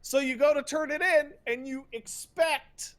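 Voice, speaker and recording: A man's voice: very high voice, fairly even intonation, a bit bright. A man hosting a podcast, ranting casually about video games in an emphatic, profane, stop-and-start way. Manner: emphatic, profane, halting with repetitions and mocking paraphrases. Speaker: podcast host.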